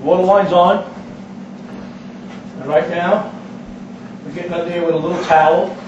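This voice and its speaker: A man's voice: sits high, steady tone, normal speed. A man giving a plain-spoken, step-by-step hands-on plumbing demonstration as an instructor. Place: indoors in a home kitchen. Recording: roomy echo, distant mic, dull lo-fi, noisy.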